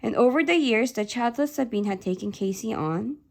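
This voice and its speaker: A woman whose first language is Korean, reading a novel aloud in a steady, clear storytelling voice. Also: fairly high pitch, normal speed. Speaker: reader.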